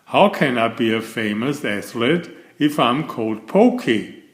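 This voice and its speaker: Storybook young male turtle, acted by a man: eager, declaring, boastful, lively pitch, slightly echoey room. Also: a little slow, close to an everyday voice. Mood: indignant protest. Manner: declaring, earnest.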